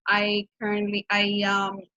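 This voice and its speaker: A woman's voice: mid-range voice, monotone, unhurried, sharp tone, dry recording. A woman in her thirties from Honduras, speaking with a Honduran Spanish accent, earnestly presenting her nonprofit's work on a video call with many fillers and restarts. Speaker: program director of a nonprofit. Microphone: video call microphone. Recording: dull, dry video-call audio.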